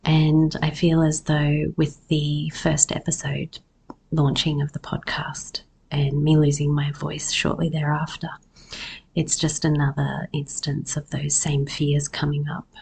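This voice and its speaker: A woman podcast host with a husky, hoarse voice, talking personally and reflectively in an unhurried solo episode. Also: deep voice, monotone, darkish tone. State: hoarse after losing voice. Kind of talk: personal solo podcast talk about herbs.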